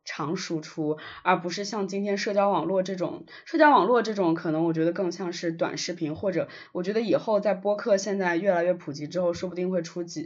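A podcast co-host talking in a casual, reflective way. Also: medium pitch. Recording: slightly dull, lo-fi podcast recording.

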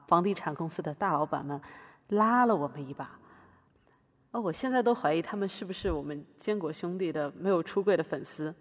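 A woman's voice: lowish voice, natural voice. A woman playing a sardonic artist-activist who argues and explains her case with irony in a satirical podcast courtroom drama. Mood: ironic gratitude. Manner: argumentative, explanatory, ironic. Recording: reverberant room.

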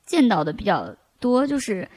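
A woman hosting a casual podcast conversation, explaining things in a measured, hedging way. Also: medium pitch, sing-song, darkish tone, dry recording. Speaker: podcast host.

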